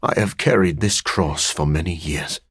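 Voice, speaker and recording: A man playing a brooding, self-deprecating vampire scientist in a video game, with a husky, breathy voice, formal diction and dry wit. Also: sits low, normal speed, a bit bright, no room sound, full character voice. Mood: earnest, somber. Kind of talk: video game character voice acting.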